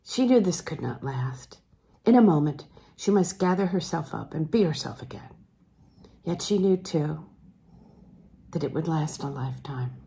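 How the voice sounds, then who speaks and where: Mellow woman reading literary fiction. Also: sits low, creaky. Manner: measured, expressive, with deliberate pauses. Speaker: audiobook reader. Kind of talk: literary narration read aloud.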